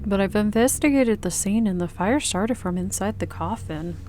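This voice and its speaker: A woman's voice: mid-range voice, moderate pace, a little mellow, slight background noise. A woman reading the dialogue and narration of a visual novel aloud as she plays through it. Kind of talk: visual novel read-aloud let's play.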